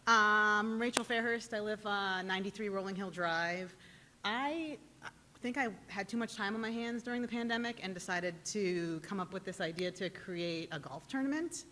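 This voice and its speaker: A woman's voice: mid-range voice, normal speed, sharp tone. A woman teacher speaking for the parent group at a board meeting, bubbly, chatty and a little self-conscious. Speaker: teacher and PTO volunteer. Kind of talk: casual presentation at a meeting. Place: town meeting room. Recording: reverberant meeting room with faint noise.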